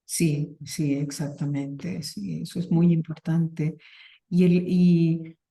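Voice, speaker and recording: A middle-aged woman hosts an interview and speaks in a low, mellow voice. She often agrees with murmurs and backs up mid-sentence to find the right word. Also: flat delivery, slow pace, creaky. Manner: frequent affirming murmurs, searching restarts. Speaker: interviewer, psychologist. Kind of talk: conversational interview.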